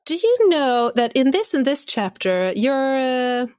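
A woman's voice: high voice, very animated, measured pace, darkish tone, clear, resonant tone, dry recording. Informal woman guest, dry sound. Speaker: podcast guest, audio drama creator. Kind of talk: casual chat.

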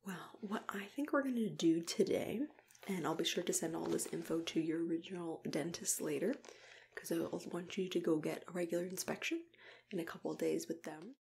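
Softly whispering young woman, ASMR roleplay. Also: lowish voice, creaky, a little hiss or hum. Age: young adult. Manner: gentle, unhurried, reassuring. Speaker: ASMR roleplayer playing a dentist.

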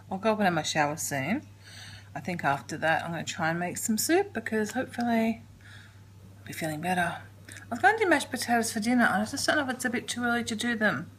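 An Australian woman in her forties chatting through a daily home vlog, rambling with soft ums and sounding a little congested. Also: a little high, a little hiss or hum. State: congested, getting a cold. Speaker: vlogger. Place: living room couch at home. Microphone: handheld camera held close.

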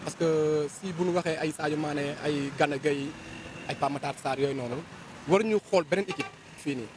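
A man's voice: on the high side, fairly quick, noisy background. A man with a Senegalese accent answering a street interview with confidence. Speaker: passerby interviewee. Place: busy roadside outdoors.

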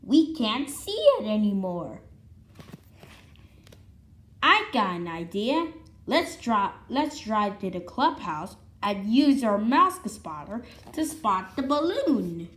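A woman's voice: mid-range voice, big pitch swings, slow pace, a bit bright, echoey room. A woman reading a children's picture book aloud, now and then stumbling and restarting, recorded in a room at home. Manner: stumbles over words and restarts. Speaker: read-along presenter. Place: indoor room at home with wooden floor.

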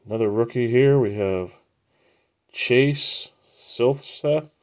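A man hosting a trading card break, casually naming each card as he opens the packs in an unhurried, matter-of-fact way. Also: mid-range voice, a little mellow. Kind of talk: casual pack-opening commentary.

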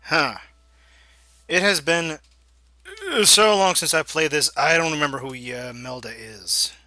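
A slightly hoarse young man commenting on a game he is playing, goofy and mock-exasperated, swearing now and then. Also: on the high side, a little slow, sharp tone, no echo, studio quality, faint music behind. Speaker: gaming YouTuber. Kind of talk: let's play commentary.

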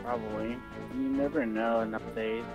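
A man improvising a newcomer slayer in a game roleplay, speaking tentatively and haltingly. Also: medium pitch, steady tone, lo-fi sound. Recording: music clearly behind the voice. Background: game music.

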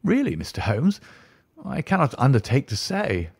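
A man's voice: medium pitch, wildly swooping pitch, moderate pace, darkish tone, slightly rough, no echo, clean studio sound, pitched above the performer's own voice, full character voice. A man voicing an agitated, fussy male college tutor in an audiobook, pleading and anxious.

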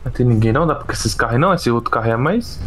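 A man's voice: medium pitch, lively intonation, very fast, fairly bright tone. A Brazilian man joking casually in slang as a player in an online role-play game. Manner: slangy and jokey. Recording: noisy game voice chat.

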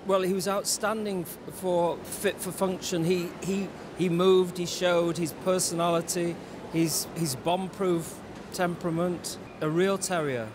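A man's voice: high-pitched, steady tone, unhurried, hardly any pauses. An older man giving expert answers in an interview as a show judge. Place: large busy indoor exhibition hall. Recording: noisy show hall background.

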